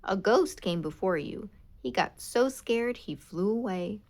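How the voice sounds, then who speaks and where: A woman's voice: mid-range voice, animated, measured pace, darkish tone. A woman voicing a cheerful grown-up male mole caretaker in a storybook.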